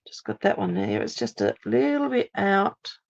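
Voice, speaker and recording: A woman from Australia, a little hoarse after laryngitis, giving a chatty step-by-step live card-making demonstration at her craft table. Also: mid-range voice, normal speed, muffled recording. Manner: chatty, steady step-by-step instructions.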